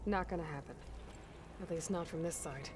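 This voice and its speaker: An actress playing a self-reliant, sceptical young heroine in a video game, speaking in a dry, plain-spoken way. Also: female voice, lowish voice, normal speed, noisy background, lightly acted. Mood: dry, confident. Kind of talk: naturalistic video game acting.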